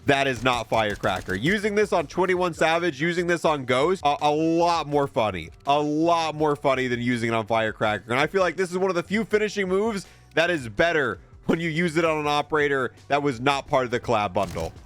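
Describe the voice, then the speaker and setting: A man's voice: fairly high pitch, animated, a little fast, hardly any pauses, fairly bright tone, soft background music. A man giving casual commentary as he reviews video game content. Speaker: gaming video creator reviewing a game bundle.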